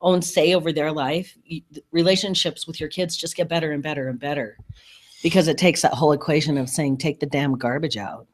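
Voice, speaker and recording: A man's voice: a little high, steady tone, brisk, a little hiss or hum. A middle-aged man talks as a podcast co-host in an easy, conversational style. His delivery is blunt and unpolished, with casual swearing dropped in. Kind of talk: casual chat.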